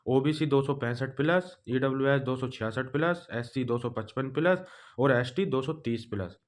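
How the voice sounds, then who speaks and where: Male exam coach, mid-pitched. Informal, direct explainer for students, stressing points by repetition. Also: moderate pace. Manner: direct, instructive, repeats figures for emphasis.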